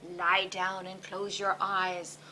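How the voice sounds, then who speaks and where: A bossy little chihuahua, voiced by a woman storyteller who rhymes in a sing-song lilt. The voice is bright and bouncy, taken slowly. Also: on the low side, completely flat delivery, low-quality recording. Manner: rhyming, sing-song delivery. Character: bossy little male chihuahua, playful schemer.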